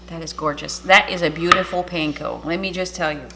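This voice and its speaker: A woman's voice: fairly low pitch, steady tone, a little fast, noisy background. A woman whose first language is French, a cooking YouTuber chatting and rambling through an air-fryer demo in her home kitchen. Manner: chatty, rambling, self-interrupting.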